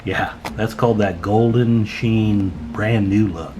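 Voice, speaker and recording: A man's voice: fairly low pitch, fairly even intonation, takes it slowly, noisy background. A man talking in a folksy, chatty way as he gives a casual hands-on repair demonstration at an indoor workbench. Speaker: radio repair technician and video host.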